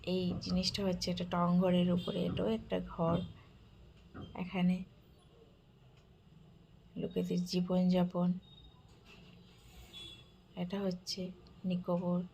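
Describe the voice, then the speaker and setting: A woman giving an admiring, soft-spoken narrated walk through an exhibit, now and then dropping to a whisper. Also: fairly low pitch, completely flat delivery, takes it slowly, creaky, long pauses, a little hiss or hum. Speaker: vlogger touring a village-life exhibit. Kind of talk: casual narrated walkthrough.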